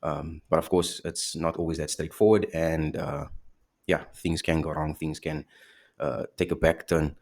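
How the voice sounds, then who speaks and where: A man's voice: a bass voice, level tone, measured pace, a little mellow. A man giving casual, reflective commentary, hesitant and full of fillers and restarts. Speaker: host commenting on clips.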